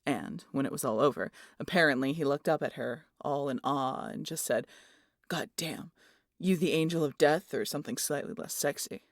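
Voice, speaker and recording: A woman's voice: deep voice, normal speed, no echo, clean studio sound. A woman voicing a brash, teasing family friend who tells stories in a salty way in an audio roleplay. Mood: storytelling. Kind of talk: audio roleplay acting, animated storytelling.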